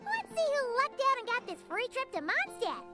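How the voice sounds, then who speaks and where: A woman voicing a tiny childlike fairy companion in an anime game, chirpy and blunt, with a squeaky way of talking. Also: big pitch swings, moderate pace. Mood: chirpy curiosity. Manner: chirpy, squeaky, refers to herself in third person. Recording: game music behind the voice.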